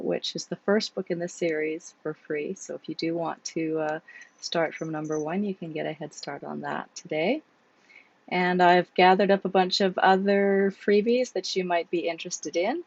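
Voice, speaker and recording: A hoarse woman with a cold chats casually with listeners, then reads from her novel in a steady voice. Her voice is gravelly and recorded dull and dry, with little room sound. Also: lowish voice, no echo. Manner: chatty, with fillers before reading. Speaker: author reading her own novel. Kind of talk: casual chat, then book reading.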